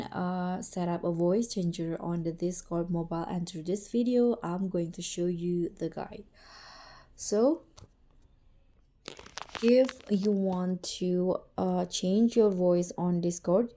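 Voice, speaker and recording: Mellow-voiced woman hosting a tutorial. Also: fairly low pitch. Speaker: tutorial host. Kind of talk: casual how-to screen tutorial narration.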